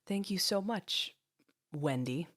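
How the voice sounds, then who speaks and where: A woman's voice: fairly low pitch, lively intonation, takes it slowly, voice pushed up. A woman voicing a protective mother in an audio story, stoic, firm and coolly polite. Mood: polite, insistent. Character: composed, icily polite, protective mother demanding answers.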